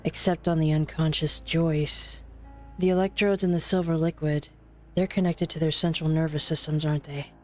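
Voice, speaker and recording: Low-voiced, sober woman researcher acted by a woman. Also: steady tone, normal speed, a little mellow, soft background music, lightly acted. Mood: probing. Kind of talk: scripted game fan dub acting.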